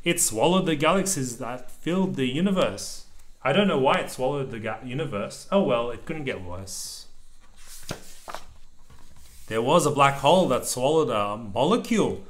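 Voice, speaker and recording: Male parent, medium pitch, storybook read-aloud for a young child with simple asides. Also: lively intonation, moderate pace. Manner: simple explanations and pointing out pictures for a small child. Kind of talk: read-aloud of a children's picture book, with short explanatory asides. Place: indoors at a table over the open book.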